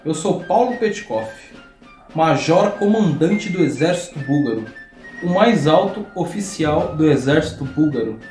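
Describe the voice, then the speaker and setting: Brazilian guy acting pompous old army major, bragging, kinda high voice, echoey room. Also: a little mellow. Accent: Brazilian Portuguese. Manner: boastful, lofty, dismissive. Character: pompous vain older Bulgarian major, a father. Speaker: acting student. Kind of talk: mock-documentary stage acting.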